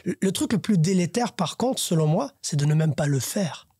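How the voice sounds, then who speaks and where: A man's voice: on the high side, lively intonation, normal speed, warm tone, breathy edge, dry recording, studio quality. A man, host of a podcast, talking earnestly in a casual panel discussion and thinking aloud as he goes. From Haitian family, raised in Canada.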